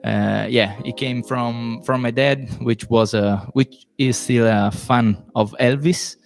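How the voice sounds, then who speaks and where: A man's voice: mid-range voice, fairly even intonation, slow, creaky, soft background music. A man from Rome in his late twenties with an Italian accent, hosting a live podcast Q&A, chatty and hunting for words with many fillers. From Italy, Rome. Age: late 20s. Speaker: podcast host and musician. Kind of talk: live solo Q&A stream.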